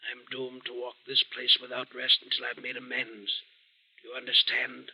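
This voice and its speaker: A man's voice: mid-range voice, completely flat delivery, vocal fry, bright, forceful tone, husky, exaggerated voice, rough recording. An actor playing the menacing, remorseful ghost of a haggard man, whispering solemnly in an old-fashioned radio mystery. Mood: remorseful, urgent. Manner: whispering, solemn, slow doom-laden pronouncements.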